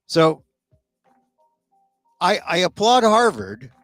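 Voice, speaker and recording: A man's voice: high voice, big pitch swings, takes it slowly, fairly bright tone, no room sound, faint music behind. A middle-aged man hosting a solo political commentary show, opinionated and a little sniffly, often repeating words as he starts a thought. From United States. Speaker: talk show host.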